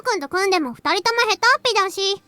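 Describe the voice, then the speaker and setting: A woman's voice: very high voice, lively intonation, very fast, pushed and bright, heavily acted. A woman voicing a cute, prissy, teasing little girl in an anime-style game, childlike and cutesy in manner. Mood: coaxing. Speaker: visual novel voice actor.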